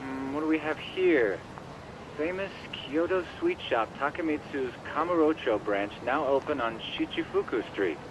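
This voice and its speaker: A man's voice: medium pitch, lively intonation, normal speed, natural voice. Man acting a quirky, geeky computer whiz, noisy background. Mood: impressed, teasing. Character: geeky, chuckling, eager male computer-search expert. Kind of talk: video game cutscene dialogue.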